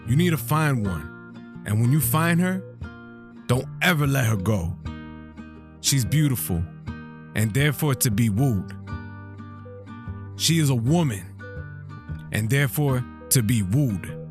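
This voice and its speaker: Proud, tender middle-aged dad played by a man, medicated yet earnest and deliberate, audio drama, guitar clearly behind. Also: mid-range voice, expressive. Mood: fatherly, sincere. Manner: earnest, deliberate advice-giving. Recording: guitar music under the voice.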